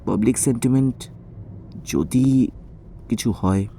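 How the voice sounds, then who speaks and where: An actor playing a polite, hesitant doctor in his forties, speaking haltingly and deferentially in an audio drama. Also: medium pitch, vocal fry, mellow, slight background noise. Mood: hesitant, uneasy. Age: 40s. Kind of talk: audio story acting.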